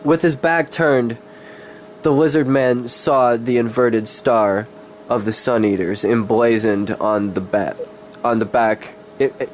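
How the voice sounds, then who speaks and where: A man's voice: mid-range voice, fairly even intonation, takes it slowly, a little hiss or hum. A man who is sick gives a dramatic reading of fantasy as an amateur, tripping over his words and swearing in casual asides. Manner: stumbling, tongue-tied, sweary. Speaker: amateur reader of his own fantasy story.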